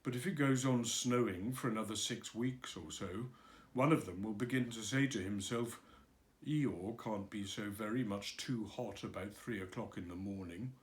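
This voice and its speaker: A man's voice: lowish voice, moderate pace, pitched below the performer's own voice, close to an everyday voice. A man voicing a gloomy, grumbling male donkey in a storybook reading, sighing and self-pitying.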